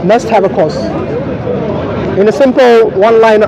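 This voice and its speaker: A man's voice: high-pitched, moderate pace, low-quality recording. A man in an informal street debate, arguing for Islam in a didactic way, explaining point by point. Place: outdoors at an urban public speakers' corner. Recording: outdoor crowd chatter around voice. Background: crowd chatter.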